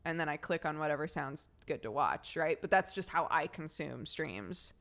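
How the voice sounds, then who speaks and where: A woman's voice: deep voice, lively intonation, moderate pace, fairly bright tone. A young woman streaming, chatting casually with viewers, slightly congested from a cold, rambling and laughing often.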